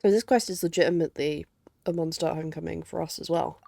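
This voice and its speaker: Blunt woman streamer, mellow and low. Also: fast talker, gravelly, dry recording, studio quality. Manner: blunt, sweary. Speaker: game streamer. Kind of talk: casual reaction commentary.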